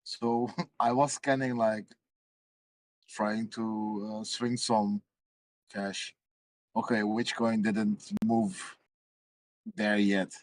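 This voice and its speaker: Man, casual chat, explanatory, somewhat low voice, dry recording. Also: little pitch movement, drawn-out words, long pauses, no echo, rough recording. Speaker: stream participant.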